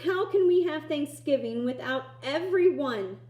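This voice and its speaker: A woman voicing an eager, excitable young boy from a storybook, with emphatic exclamations, in an echoey room. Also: high-pitched, a little slow, lo-fi sound. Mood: dismayed.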